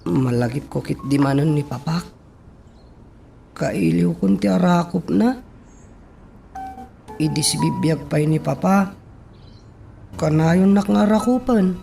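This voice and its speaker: A man's voice: on the high side, vocal fry, darkish tone, full character voice. A man playing an earnest, sentimental young son in an Ilocano radio drama. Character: earnest, sentimental young son longing for his late father.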